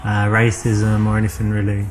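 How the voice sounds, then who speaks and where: A man with a softened London English accent, playing a chatty, relaxed character in a scripted dating conversation. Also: lowish voice, moderate pace, faint music behind. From England. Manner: chatty, relaxed, with filler restarts. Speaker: player character in a dating game.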